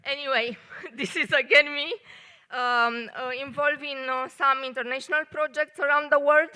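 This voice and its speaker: A woman from Romania with a Romanian accent, an engineer giving a short live talk at a café event, speaking carefully with many pauses. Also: high-pitched, steady tone, bright, forceful tone. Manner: careful non-native phrasing with many pauses.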